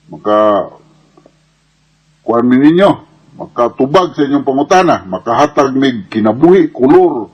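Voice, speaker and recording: A man hosting a radio advice program, talking in a halting way as he addresses listeners. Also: medium pitch, a little mellow. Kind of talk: radio talk and sign-off. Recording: dull radio sound over music. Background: music.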